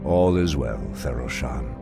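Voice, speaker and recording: An actor playing an ancient, proud, commanding night elf archdruid in a fantasy video game. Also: male voice, very deep voice, expressive, a little slow, heavily acted. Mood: solemn, commanding. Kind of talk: dramatic video game voice acting. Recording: loud game music behind.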